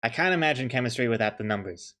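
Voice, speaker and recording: A man's voice: medium pitch, lively intonation, fast talker, bright, forceful tone. A young man chatting casually on a stream, joking and thanking viewers as he goes. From New Zealand. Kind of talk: casual chat while drawing on stream.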